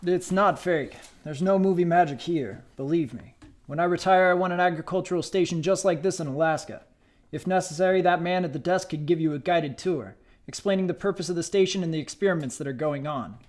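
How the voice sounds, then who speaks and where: A calm government scientist in a radio drama, played by a man, with a fairly high male voice. He explains things in a matter-of-fact way. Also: fairly quick, natural voice. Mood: explanatory. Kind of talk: dramatized radio play acting.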